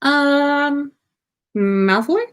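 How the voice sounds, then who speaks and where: Storybook schoolboy, read by a woman: awkward, gentle, exasperated, slow and hesitant. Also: sits high, voice pushed up. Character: teenage wizard boy, awkward, exasperated but gentle.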